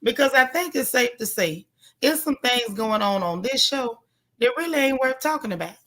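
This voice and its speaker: A woman hosting a casual live recap chat, with a loud, gravelly, hoarse voice, greeting viewers by name with folksy slang. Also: fairly high pitch, lively intonation, moderate pace. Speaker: livestream host.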